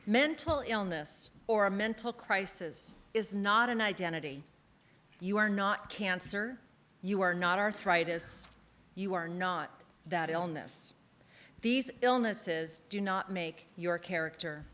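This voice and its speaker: A woman on the town council stands at a podium in a theatre and delivers a prepared award speech. Her voice sits at an ordinary middle pitch, and she speaks in an earnest, emphatic, measured way, leaning on key phrases. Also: fairly bright tone. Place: theatre stage at an awards ceremony.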